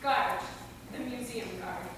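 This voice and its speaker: High-voiced woman narrator, very echoey hall. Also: slow pace. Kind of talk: scripted narration of stage directions. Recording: echoey hall, distant mic, clear noise.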